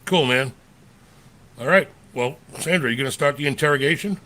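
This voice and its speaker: Bright-voiced man telling stories. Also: mid-range voice, normal speed. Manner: rambling anecdotes with restarts and slang. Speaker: podcast co-host. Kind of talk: casual storytelling chat.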